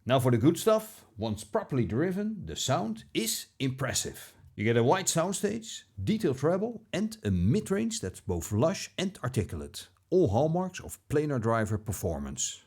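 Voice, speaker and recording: A man narrating a scripted product review as a video host, with a measured, polished presenter delivery. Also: medium pitch, very animated, a little mellow, slightly rough.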